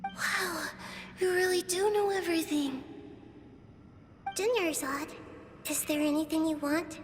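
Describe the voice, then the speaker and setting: Very high-pitched little girl, echoing. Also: a little slow, over music. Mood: soft, wondering. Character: small, innocent, kind girl child in a fantasy game. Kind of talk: game dialogue. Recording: long reverb, faint background noise.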